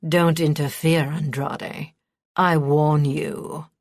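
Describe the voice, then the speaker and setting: Woman voicing a cold, soft-spoken, menacing prince. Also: sits low, level tone, slow, dry recording, studio quality. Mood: stern, commanding. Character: cold, cruel, commanding high prince, a father.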